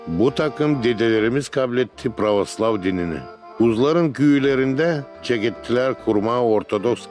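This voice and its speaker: A wise cartoon father played by a man with an average-pitched voice, telling history to youngsters. His delivery is measured and instructive, with music playing behind him. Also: darkish tone, lightly acted. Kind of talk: cartoon storytelling, educational narration.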